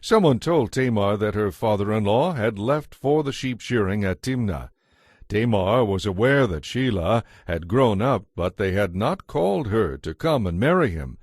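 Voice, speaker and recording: A man's voice: fairly low pitch, expressive, moderate pace, darkish tone, slightly rough, dry recording, studio quality. A man giving a scripted narration as the narrator of a dramatized audio Bible.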